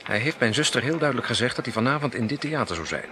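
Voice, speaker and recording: A man acting in an old radio play, speaking in a measured, suspicious, commanding way. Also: medium pitch, expressive, noisy background. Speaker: radio drama actor.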